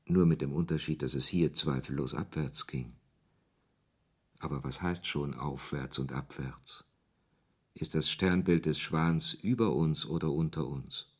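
A man's voice: very deep voice, measured pace, exaggerated voice. A man reading scripted literary narration as the narrator of a radio play. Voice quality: husky.

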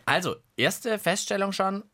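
A man's voice: high-pitched, a little slow. A man co-hosting a children's science podcast in colloquial, playful chat. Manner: colloquial, animated, jokey.

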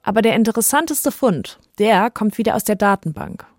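A woman's voice: mid-range voice, animated, brisk, no room sound. A woman hosting and reporting in a conversational investigative podcast narration style. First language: German.

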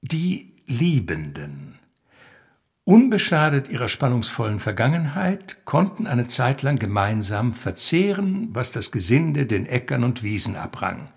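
A man reads literary prose aloud in a mid-pitched voice. The tone is husky, with a marked gravelly creak, and the delivery is that of a storyteller working from a written text. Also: big pitch swings, measured pace. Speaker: reader.

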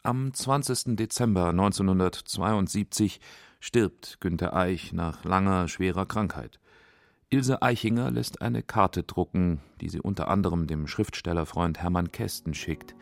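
Low-voiced male documentary narrator. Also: unhurried, gravelly, no echo, clean studio sound. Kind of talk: scripted radio feature narration.

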